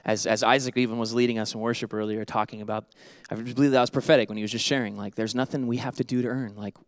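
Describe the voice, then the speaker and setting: A man in his thirties preaching a sermon on a church stage into a handheld mic, animated and joking, then dropping to soft earnest tones. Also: mid-range voice, fast talker, no room sound. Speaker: pastor.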